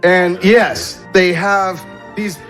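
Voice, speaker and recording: A man narrating a tabletop game as game master, in halting, descriptive storytelling. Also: high-pitched, steady tone, a bit bright, faint music behind. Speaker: game master and show host.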